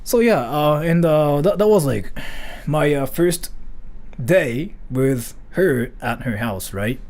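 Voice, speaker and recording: A man's voice: a little high, animated, takes it slowly, darkish tone. A man from Japan, rambling through a casual story on a livestream with lots of filler words. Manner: rambling, heavy use of "like" fillers. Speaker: streamer.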